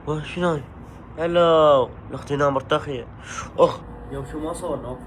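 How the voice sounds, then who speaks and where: A man teases and urges in quick bursts of speech. Clear background noise and a passing vehicle run under his voice in this home recording. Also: fairly high pitch. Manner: teasing, playfully nags and urges. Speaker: husband on the family vlog.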